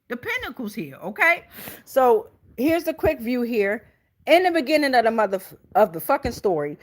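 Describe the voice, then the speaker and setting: An American woman giving an informal tarot reading as host, blunt, foul-mouthed and emphatic, often checking in with her listeners. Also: on the high side. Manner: checks in with listeners with repeated tag questions.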